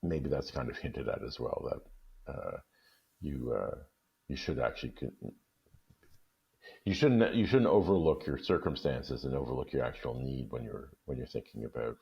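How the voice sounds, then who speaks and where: A man, a guest reader on a radio discussion show, who talks haltingly with many restarts. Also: extremely low pitch, animated, gravelly, slightly rough, dull audio.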